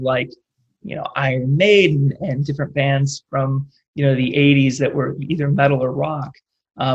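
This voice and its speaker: A young man with a Californian accent talks in a casual interview. His voice sits at a middle pitch, and he rambles along with plenty of "like" and "you know". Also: highs cut off. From California, United States. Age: late 20s. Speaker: interview guest, rock singer.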